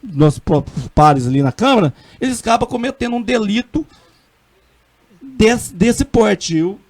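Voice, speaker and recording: A man's voice: high-pitched, expressive, measured pace. A middle-aged man with a Minas Gerais accent, a city councilman speaking earnestly and emphatically in a radio interview, often restarting his sentences. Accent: Minas Gerais Portuguese. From Brazil.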